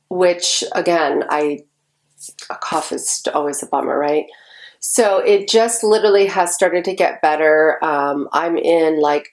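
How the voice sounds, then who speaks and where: A woman in her forties, stuffy and congested, chatting casually to the camera in a rambling way with lots of ums. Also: on the low side. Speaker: vlogger.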